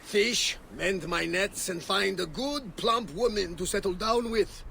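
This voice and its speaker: An actor playing a proud, bitter runaway Thracian gladiator in a video game, blunt and defiant in manner. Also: male voice, sits high, unhurried, vocal fry, pushed and bright, slight background noise, heavily acted. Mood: weary, grateful.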